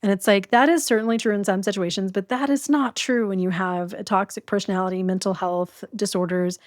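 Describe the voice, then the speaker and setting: A woman's voice: medium pitch, steady tone, brisk, dry recording, studio quality. Candid middle-aged woman guest. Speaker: guest, domestic violence survivor. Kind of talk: personal storytelling in interview.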